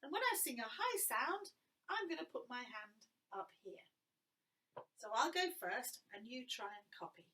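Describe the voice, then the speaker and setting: A woman with an English accent, teaching young children to sing in a gentle, encouraging way. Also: fairly high pitch, big pitch swings, measured pace, a little hiss or hum. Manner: gentle, encouraging, sings phrases for copying. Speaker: music teacher. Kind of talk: children's singing lesson.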